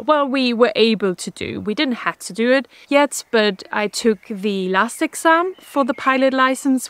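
A woman's voice: on the high side, fairly bright tone, no room sound. Upbeat woman vlogging outdoors. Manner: upbeat and chatty, with small self-corrections. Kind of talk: casual talk to camera. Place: outdoors in a garden.

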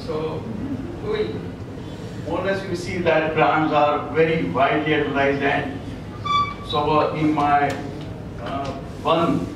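Polite Indian man asking from the floor. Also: a little high. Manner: polite, deferential, repeats "sir". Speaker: audience member asking a question. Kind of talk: formal, halting question from the floor. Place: large lecture hall. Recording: echoey hall, far mic, noisy.

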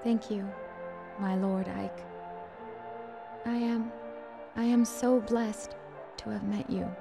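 A woman playing a gentle, anxious young princess in a video game cutscene, speaking softly and hesitantly with formal politeness. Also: mid-range voice, fairly even intonation, slow pace, gravelly. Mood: grateful. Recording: loud music under the voice.

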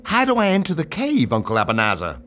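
A man's voice: on the high side, huge pitch swings, fast talker, a bit bright, a little hiss or hum. A man voicing an earnest, slightly cheeky poor young boy in a children's story. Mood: eager, curious.

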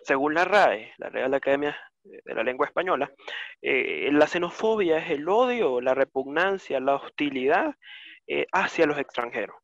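A man's voice: fairly high pitch, expressive, moderate pace, a bit bright, no echo. Dry lo-fi sound, young adult man, didactic explanatory panel talk. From Nicaragua. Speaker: webinar panelist. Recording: dry, dull lo-fi call audio.

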